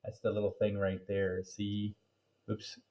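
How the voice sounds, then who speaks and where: A man's voice: fairly low pitch, monotone, unhurried, dark tone. A man reading a children's chapter book aloud, with playful, jokey asides to his listeners. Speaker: reader.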